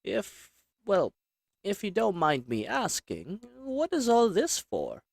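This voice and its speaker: A man's voice: high-pitched, huge pitch swings, slow pace, vocal fry, no room sound, studio quality, pitched above the performer's own voice, heavily acted. A man voicing a polite, meek storekeeper in a roleplay audio drama, courteous and hesitant in manner. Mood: polite, helpful. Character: polite, meek storekeeper, an assassin's flirty disguise.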